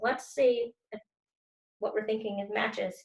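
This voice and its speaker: A woman teacher reading to children and commenting as she goes, expressive and stressing key words. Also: mid-range voice, a little slow, gravelly, echoey room.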